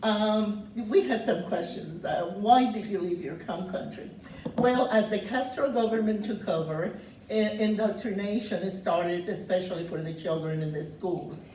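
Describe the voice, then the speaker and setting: Loud-spoken woman in her seventies. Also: medium pitch, slight background noise. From Cuba. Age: 70s. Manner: speaks loud, earnest, pauses on many fillers. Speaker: guest speaker sharing her refugee story. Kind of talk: personal testimony talk. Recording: roomy, distant mic, faint ambience.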